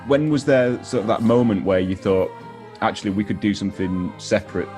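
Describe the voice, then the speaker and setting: A man's voice: lowish voice, brisk, darkish tone. A man interviewing guests in a casual, chatty style. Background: music.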